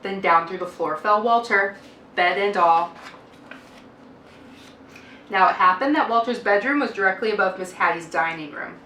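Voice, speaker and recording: A woman reading a children's picture book aloud like a teacher, with expressive, deliberate emphasis and a dramatic sing-song delivery. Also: mid-range voice. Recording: roomy, distant microphone, faint noise.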